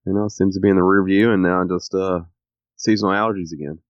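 A man co-hosting a podcast, sniffly and a little raspy from allergies, rambling with many ums. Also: deep voice, steady tone, fast talker, no echo, dull audio. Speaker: podcast co-host. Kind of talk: casual chat.

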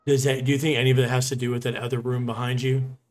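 Flat-pitched man chatting on a podcast. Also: fast talker, crowd noise. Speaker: podcast producer and co-host. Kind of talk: casual podcast chat.

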